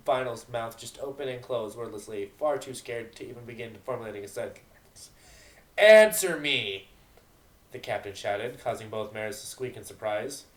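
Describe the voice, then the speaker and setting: A man's voice: on the low side, fairly even intonation, normal speed. A man reading narration for an amateur dramatic reading of a fan fiction story. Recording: roomy, echoing home recording.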